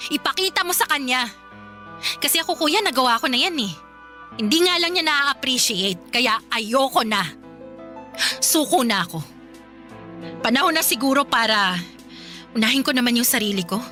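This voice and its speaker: Resentful young daughter, Filipino accent, high-pitched, sharp bright tone, emphatic, radio drama, soft music behind. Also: female voice. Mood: defiant, weary. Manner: emphatic, pleading, emotional outbursts. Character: exhausted, resentful young daughter fed up with caregiving. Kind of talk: Tagalog radio drama acting.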